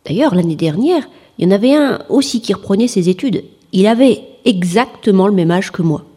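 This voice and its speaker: Late 20s woman, kinda husky soft tone, plain short answers in an interview. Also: mid-range voice, expressive, fairly quick. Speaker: interviewee, industrial technician returning to school.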